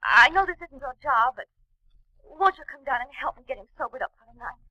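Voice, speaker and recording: A pleading trapeze dame. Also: female voice, high voice, lively intonation, fairly quick, dry recording, dull audio. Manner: urgent, pleading. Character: pleading young female trapeze artist. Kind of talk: 1940s radio drama acting.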